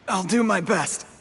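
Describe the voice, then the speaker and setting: An actor playing a timid, apologetic drinker in a video game, eager to please, with a strained, halting manner. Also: male voice, high voice, creaky, long reverb tail, background noise, exaggerated voice. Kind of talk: video game character acting.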